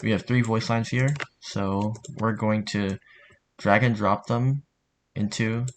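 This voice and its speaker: A man narrating a software tutorial in an informal, somewhat hesitant way. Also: fairly low pitch, fairly even intonation, takes it slowly. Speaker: tutorial host.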